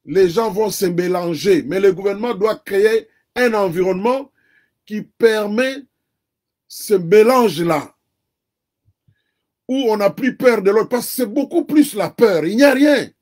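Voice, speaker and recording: High-voiced Congolese man, earnest. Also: expressive, unhurried, darkish tone. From Democratic Republic of the Congo. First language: Kikongo. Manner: earnest, argues point by point with repetition. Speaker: interview guest. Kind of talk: earnest conversational commentary.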